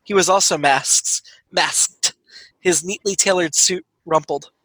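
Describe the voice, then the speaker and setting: A man with a fairly high, very bright voice reads a comic piece of fiction from a script. The sound is dry and close, with little room around the words. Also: unhurried, slightly rough, no room sound, low-quality recording. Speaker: comedy troupe writer reading own story. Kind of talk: reading a scripted comic erotic story aloud.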